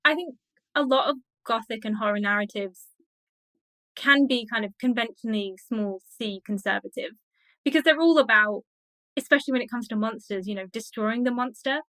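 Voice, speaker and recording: A woman with a bright, fairly high voice talks as an expert guest in an interview. She explains her ideas thoughtfully and with enthusiasm, in conversational style. The sound is very dry, with no room on it. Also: moderate pace, no echo, poor audio.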